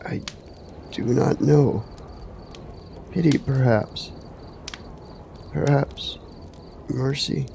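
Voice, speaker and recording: An actor playing a haunted, weary warrior in a fantasy radio drama, speaking gravely and haltingly. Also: male voice, medium pitch, fairly even intonation, mellow, a little hiss or hum, heavily acted. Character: broken, haunted brave warrior, solemn and weary.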